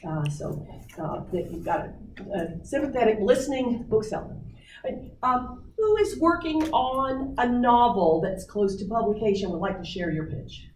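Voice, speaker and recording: A woman leading a writing workshop, coaching people on their book pitches with many fillers. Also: sing-song, moderate pace, slight background noise. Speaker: workshop presenter. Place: meeting room. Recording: roomy distant audience recording.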